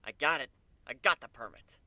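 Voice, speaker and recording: A man voicing a confident, good-humoured, teasing male pony in a story, in a plain, energetic way. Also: medium pitch, a little fast, no echo, pitched above the performer's own voice. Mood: excited.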